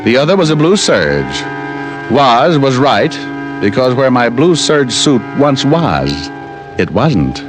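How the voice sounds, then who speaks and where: A flippant hard-boiled private eye in a mid-range voice. He tells his story with wry wit in old radio style. Music is clearly heard behind him. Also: mid-range voice, huge pitch swings, takes it slowly. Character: wisecracking male private detective telling his own story. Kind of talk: 1950s radio detective drama narration and acting.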